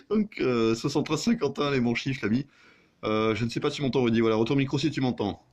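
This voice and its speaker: A man's voice: medium pitch, lively intonation, moderate pace, long pauses. A man chatting casually as an amateur radio operator, with crude, joking, sweary banter.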